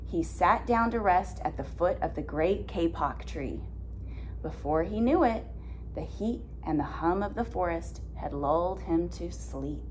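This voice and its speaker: A woman in her thirties reading a picture book aloud as a teacher, deliberate and expressive, explaining simply. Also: fairly low pitch, a little hiss or hum, rough recording. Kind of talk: children's read-aloud with simple questions. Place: classroom, indoors.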